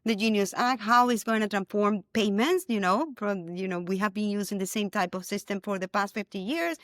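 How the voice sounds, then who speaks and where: A woman shares her views on a casual panel with earnest enthusiasm, often circling back and repeating words. Her pitch is an ordinary middle. The recording is dry and close. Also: a bit bright, dry recording. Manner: earnest, repeats words while searching for them. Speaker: panelist on content team.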